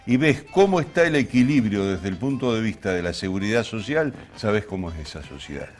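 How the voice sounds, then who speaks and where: A man's voice: fairly low pitch, expressive, fairly quick, faint music behind. A man with an Argentine accent hosting a talk show, speaking in an expansive, explanatory way and often rephrasing as he introduces topics. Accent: Argentine Spanish. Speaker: television show host. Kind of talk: conversational programme introduction to camera.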